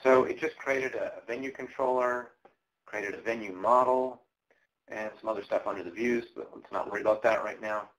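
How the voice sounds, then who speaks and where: A man's voice: on the low side, fairly even intonation, moderate pace, a bit bright, low-quality recording. A man giving a live coding walkthrough as a tech presenter, casual and explanatory with ums and uhs, and he is losing his voice. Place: conference presentation.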